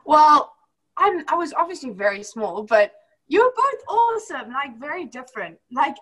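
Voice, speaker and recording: A woman's voice: high-pitched, big pitch swings, normal speed, fairly bright tone, low-quality recording. A young woman with a mixed British and South African accent, talking casually over a video call and laughing often. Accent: mix of British and South African. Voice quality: giggly, laughs often, many fillers. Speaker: former homeschool student.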